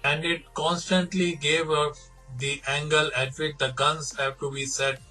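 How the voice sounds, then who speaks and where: A man's voice: fairly high pitch, little pitch movement, low-quality recording. A man with an Indian accent narrating documentary material, mixing scripted reading with conversational explanation. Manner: switches between measured reading and rapid explaining. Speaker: presenter retelling a documentary. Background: background music.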